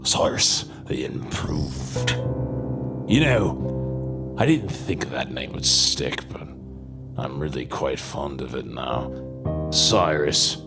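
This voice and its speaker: A gravelly, very deep mocking demon. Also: male voice, lively intonation, takes it slowly, pauses often, heavily acted. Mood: gloating. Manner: sardonic, taunting, theatrical. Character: menacing, mocking male demon possessing a big man's body. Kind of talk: audio horror drama acting. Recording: music under the voice.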